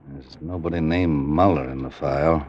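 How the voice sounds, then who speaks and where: A man's voice: extremely low pitch, steady tone, moderate pace, dull audio, background noise. An actor playing a skeptical male police detective, blunt and clipped, in an old-time radio drama. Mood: probing. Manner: clipped, blunt, businesslike questioning.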